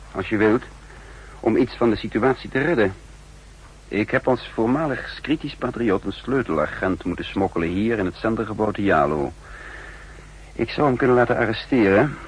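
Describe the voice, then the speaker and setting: An actor playing a cool, commanding spymaster and double agent in a 1960s Dutch radio drama, speaking in an assured, deliberate way. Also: male voice, fairly low pitch, breathy edge, rough recording. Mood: calm, confiding.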